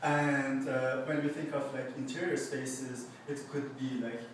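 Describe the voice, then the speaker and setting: A man's voice: medium pitch, flat delivery, unhurried, a little hiss or hum. Hesitant young man, echoey and distant. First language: Farsi. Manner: hesitant, loose, many filler words and restarts. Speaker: artist presenting his paintings. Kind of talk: unscripted gallery talk. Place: art exhibition space indoors. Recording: very echoey room, voice from far off.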